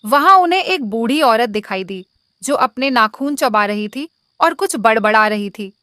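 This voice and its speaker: A woman's voice: a little high, lively intonation, normal speed, a bit bright, no room sound, clean studio sound. A woman reading a scripted moral tale as a storyteller.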